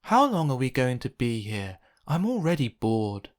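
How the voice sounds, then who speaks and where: A man's voice: mid-range voice, sing-song, measured pace. A man voicing an impatient, whiny young girl in a children's storybook, dramatic and complaining. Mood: bored.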